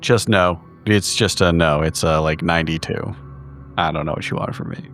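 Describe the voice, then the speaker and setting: Low-voiced man talking through a plan. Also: normal speed, a bit bright, faint music behind. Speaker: player. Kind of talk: casual tabletop game talk.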